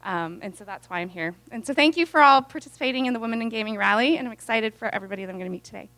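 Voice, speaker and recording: A woman in her thirties giving earnest, excited welcoming remarks with frequent fillers. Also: on the high side, very fast, slight background noise. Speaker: event speaker.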